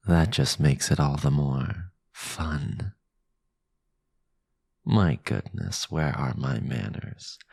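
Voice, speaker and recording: Menacing possessive vampire master for asmr, super low gravelly voice, slow silky condescending talk, close and dry. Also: male voice, darkish tone, dry recording, heavily acted. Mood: amused, menacing.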